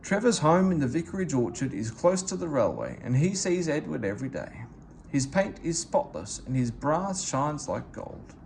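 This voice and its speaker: A man reading a children's storybook aloud in a gentle, storytelling manner. His voice is fairly high and moves widely in pitch. A faint hiss runs under the recording. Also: big pitch swings, normal speed, gravelly. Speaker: read-aloud narrator.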